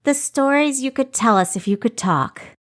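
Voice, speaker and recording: A woman voicing an animated female historian who retells ancient legends with emphatic storytelling in a fantasy audiobook. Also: high-pitched, normal speed, pitched above the performer's own voice. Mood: affectionate.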